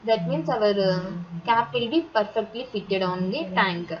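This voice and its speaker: A woman with an Indian accent narrating a step-by-step software tutorial in a halting, instructional manner. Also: mid-range voice, expressive. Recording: muffled, very noisy, vehicle sounds behind.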